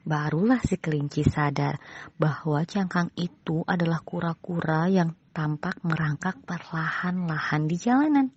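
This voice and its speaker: A woman's voice: low voice, unhurried, a little mellow, slightly rough, dry recording, muffled recording. A woman narrating a scripted children's story as a storyteller.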